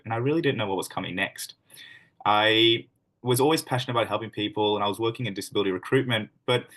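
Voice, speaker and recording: Brief: fast-talking man with a lowish voice, hosting a business presentation over video, upbeat and a little rambling. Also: a bit bright. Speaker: host.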